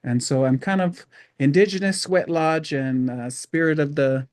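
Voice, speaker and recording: A middle-aged man from Hawaii, a podcast guest telling his life story in a relaxed, rambling way with many fillers. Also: on the high side, moderate pace, dry recording. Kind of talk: casual storytelling interview.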